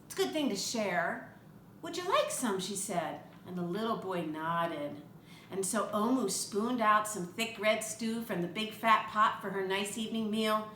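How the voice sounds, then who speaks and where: An older woman reading a picture book aloud to children, expressive and chatty, with asides to the young listener. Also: medium pitch, unhurried. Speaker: read-aloud storyteller for children. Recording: echoey room with faint background noise.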